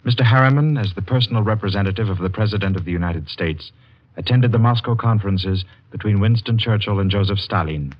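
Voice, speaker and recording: A man announcing for a network radio show in a formal, crisp and measured 1940s style. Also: lowish voice. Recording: dull 1940s radio broadcast.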